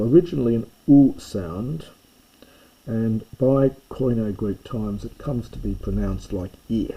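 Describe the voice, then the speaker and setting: Low mellow-voiced man teaching, stresses each letter. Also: slight background noise, rough recording. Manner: plain explanatory tone with fillers, stresses each letter name. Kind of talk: classroom lesson on a whiteboard.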